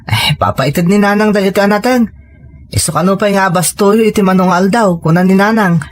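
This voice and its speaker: A woman's voice: mid-range voice, fairly quick, lightly acted. A woman acting as a supportive young Filipino cousin in a village audio drama. She has an ordinary middle-range voice and talks in a casual, friendly, giving way.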